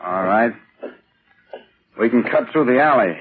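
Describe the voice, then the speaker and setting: An actor playing a suspicious, nervous small-time crook in old radio drama, gruff and blustering, full of slang. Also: male voice, mid-range voice, animated, measured pace, a little hiss or hum, lightly acted. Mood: uneasy, blustering. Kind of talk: 1940s radio acting. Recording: tinny, phone-like old radio recording.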